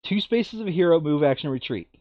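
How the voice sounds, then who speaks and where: Man with very lively pitch, lo-fi mic. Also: fast, no echo. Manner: reads out game rules and tallies. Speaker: board game player. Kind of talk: casual tabletop game chat.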